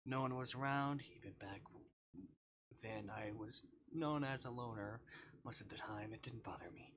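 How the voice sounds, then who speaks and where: A shy, self-deprecating young ghost played by a man from a visual novel game. The voice is hushed and hesitant, halting between phrases, with a bright edge and faint noise behind it. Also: medium pitch, natural voice. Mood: subdued, ashamed.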